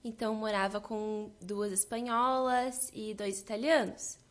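A young woman from southern Brazil, answering interview questions in a lively way and laughing often. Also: mid-range voice, unhurried. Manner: lively, laughs often, quotes others' reactions. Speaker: guest.

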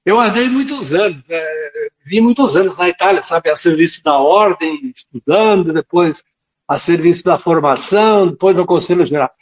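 A man in his late seventies with a southern Brazilian accent from Rio Grande do Sul, a priest telling his story in a halting, earnest, devout way. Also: on the high side, slightly rough, muffled recording. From rural southern Brazil. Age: late 70s. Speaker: priest and friar, interview guest. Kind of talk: reflective personal storytelling in a radio interview.

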